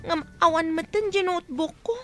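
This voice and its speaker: A woman's voice: extremely high pitch, fairly quick, music in the background. A woman playing a fretful schoolgirl in a comedy radio drama, plaintive and whining. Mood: worried. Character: fretful schoolgirl complaining to her mother.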